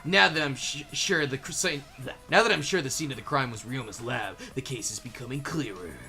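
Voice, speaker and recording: A person chatting casually while playing a game and reading out its text, animated, sweary and easily amused. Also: medium pitch, fairly quick, music in the background. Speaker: player and fan dub voice reader. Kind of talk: casual gaming chat mixed with reading tutorial text.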